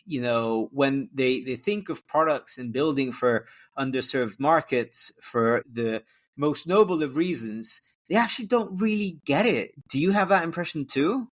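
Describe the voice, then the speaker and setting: A man's voice: mid-range voice, measured pace. A young man who grew up in Costa Rica and Hungary, hosting a podcast and asking curious follow-up questions. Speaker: podcast host. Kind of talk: casual interview conversation.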